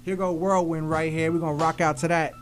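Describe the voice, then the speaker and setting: A man's voice: on the high side, level tone, fairly quick, slight background noise. An American man hosting a casual hip-hop radio show, talking in slangy, profane, enthusiastic style. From Philadelphia, USA. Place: small radio studio. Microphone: studio boom mic.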